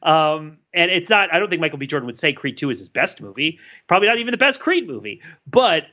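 An excitable man co-hosting a podcast, his voice sitting a bit high and sounding bright and forceful. He talks in eager bursts, restarting sentences as his thoughts race ahead, and the recording is dull and lo-fi. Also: fast. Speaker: podcast co-host. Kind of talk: casual chat. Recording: dull lo-fi mic.

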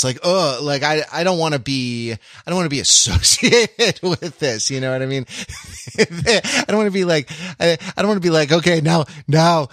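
A man co-hosting a casual discussion podcast, speaking in a halting, analytical way and often correcting himself. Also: on the high side, a bit bright, dry recording, clean studio sound. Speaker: podcast co-host.

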